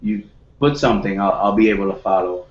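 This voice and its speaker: A young man in his twenties from the Dominican Republic talks modestly about making music, often restarting his sentences. His voice is low for a man. He sounds like he is in an echoey room, coming through dull lo-fi call audio. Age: 20s. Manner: modest, halting, many restarts and fillers. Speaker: guest, home studio producer. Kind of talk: casual video call chat.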